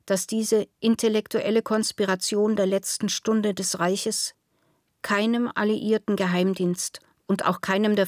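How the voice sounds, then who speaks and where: A woman's voice: mid-range voice, a little mellow, no echo, clean studio sound. A woman reading scripted literary prose as a narrator in a radio play.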